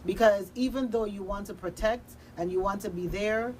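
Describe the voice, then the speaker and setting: A woman's voice: medium pitch, normal speed, a little mellow, rough recording. Earnest woman talking over background noise. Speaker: radio drama producer, studio guest. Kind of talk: earnest conversational discussion. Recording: noticeable background noise, room ambience.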